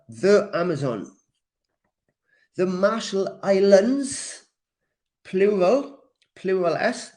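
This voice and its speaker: A man from Wales teaching a live English class, deliberate and encouraging, repeating key words for learners as he responds to comments. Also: sits high, a little mellow. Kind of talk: live grammar lesson, reacting to comments.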